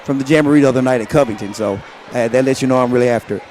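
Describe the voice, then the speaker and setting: A raspy, hoarse man, a basketball coach at halftime. He talks in an upbeat, emphatic way at a middling pitch, with steady gym noise all around him. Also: rapid-fire. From West Tennessee. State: hoarse from shouting. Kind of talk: halftime interview. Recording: noisy gym with crowd ambience.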